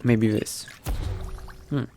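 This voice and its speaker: A man narrating his role-play gameplay in character, musing to himself in an unhurried, gravelly voice. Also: mellow, slight background noise. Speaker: gaming video host, role-playing player.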